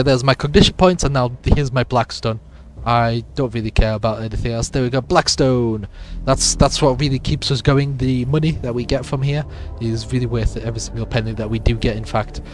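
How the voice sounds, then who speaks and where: A man with a sick, raspy voice casually comments on his gameplay, rambling along with lots of fillers. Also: medium pitch, darkish tone. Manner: rambling, with many fillers and restarts. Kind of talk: casual let's-play commentary.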